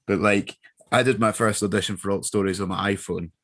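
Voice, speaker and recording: Low-pitched man chatting informally. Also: steady tone, a little fast. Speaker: voice actor guest. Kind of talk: casual chat, giving advice.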